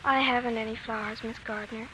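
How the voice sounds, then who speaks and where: A woman's voice: fairly high pitch, fairly even intonation, fairly quick, pushed and bright, rough recording. An actress playing a sensitive, fiercely loyal grieving schoolgirl in an earnest, emotional 1940s radio drama style. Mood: quiet, sorrowful. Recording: vintage 1940s radio, faint noise.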